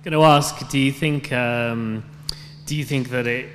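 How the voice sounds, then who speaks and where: A man's voice: medium pitch, steady tone, a little slow, a bit bright, in a large hall, a little hiss or hum. A man in an audience casually asking a speaker a question from the floor. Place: large venue, audience floor.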